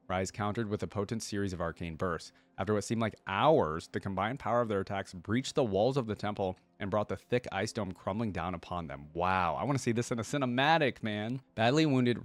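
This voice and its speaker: A man's voice: lowish voice, no echo. A man reading a fantasy story aloud expressively and adding casual remarks as a reaction host.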